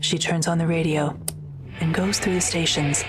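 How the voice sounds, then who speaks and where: A woman's voice: low voice, steady tone, normal speed, background noise. A woman reading scripted narration for an audio drama.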